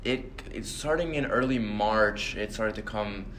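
Low-voiced young man, relaxed interviewee. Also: measured pace, slight background noise. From Bahamas. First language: Portuguese. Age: young adult. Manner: relaxed, trailing off softly at the ends of thoughts. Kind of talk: casual interview answers. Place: hotel meeting room.

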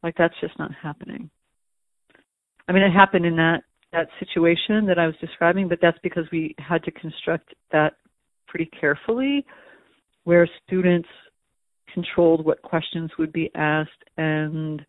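A man's voice: on the high side, gravelly, dry recording. A man talking through an interview answer thoughtfully, with frequent restarts and fillers, as an educator. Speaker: museum education staff. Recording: tinny phone-like audio.

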